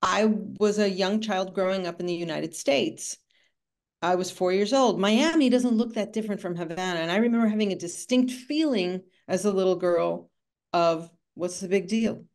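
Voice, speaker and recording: A woman in her sixties who was born in Cuba speaks over a video call from a room at home. She tells her story in a reflective, winding way, often stepping aside mid-thought, at a middle pitch. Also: normal speed, a little mellow. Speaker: author and art conservator.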